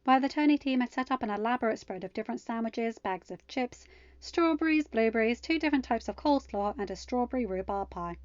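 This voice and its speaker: A woman's voice: a little high, expressive, fairly quick, dry recording. A woman reading stories aloud as host of a fan fiction channel, on a close, slightly muffled home recording. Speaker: podfic reader and channel host. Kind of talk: narrated fan fiction reading with chatty, excitable sign-off.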